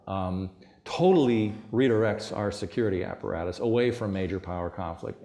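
Deep-voiced man lecturing with many fillers. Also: darkish tone. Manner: hesitant, many fillers and restarts. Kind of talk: academic talk to an audience. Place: lecture room.

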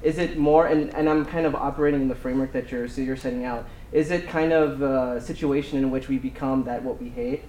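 A man's voice: mid-range voice, steady tone, a little fast, few pauses, dark tone. A man in the audience asking a long, rambling question full of hedges. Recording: roomy hall with faint noise.

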